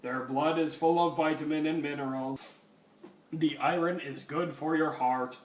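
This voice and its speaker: A man voicing a solemn male cyborg policeman in a measured monotone. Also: on the high side, a little hiss or hum, lightly acted. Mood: calm. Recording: roomy, distant mic, music behind.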